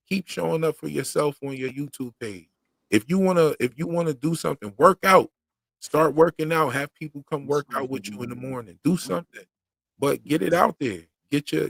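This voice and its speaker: A man's voice: medium pitch, gravelly, a bit bright, no room sound. A man hosting his own channel's livestream, chatting casually in slangy, rhythmic talk. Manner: slangy, talks in a loose rhythm; says he once stuttered heavily. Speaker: YouTube channel host on a livestream.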